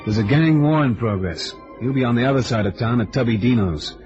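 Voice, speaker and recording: An actor playing a wry, tough but affable amateur sleuth in an old-time radio drama, with streetwise, hesitant turns of phrase. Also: male voice, medium pitch, a little fast, music in the background, close to an everyday voice. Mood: wry, brisk. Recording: dull, lo-fi vintage radio recording.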